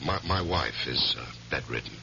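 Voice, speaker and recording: An earnest young lawyer with a tense, sharp edge and swooping low pitch. He speaks politely but dramatically, as if haunted. The old radio recording is dull and faintly noisy. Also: animated, normal speed, creaky, heavily acted. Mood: deferential, hesitant. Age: young adult. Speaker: lead actor and first-person narrator.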